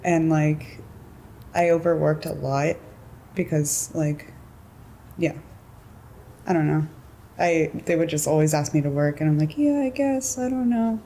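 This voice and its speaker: A young woman with a notably deep, mellow voice, talking loosely and laughing often. She fills her speech with "like" and "um" in an easy, unguarded way. A faint hiss of background noise sits under the recording. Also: unhurried, long pauses, low-quality recording. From California. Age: 20s. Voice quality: low voice. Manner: relaxed, giggly, lots of "like" and "um" fillers. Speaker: interviewee. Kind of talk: casual interview.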